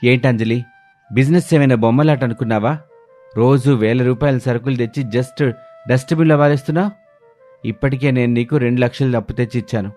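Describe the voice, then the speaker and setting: A man's voice: mid-range voice, a little fast, warm tone, soft background music, close to an everyday voice. A man voicing an angry husband who lectures his wife reproachfully in a story. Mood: scolding.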